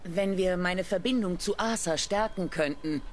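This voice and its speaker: A woman's voice: on the low side, normal speed, a little hiss or hum, lightly acted. A woman playing a dutiful, battle-hardened soldier and survivor in a video game, with clipped military delivery. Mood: grave, guarded. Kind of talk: dramatic video game dialogue.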